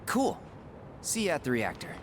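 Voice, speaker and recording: A man playing a cocky, good-hearted young soldier in a video game cutscene, brash, casual and expressive. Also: fairly high pitch, moderate pace, heavy background noise. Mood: upbeat, confident.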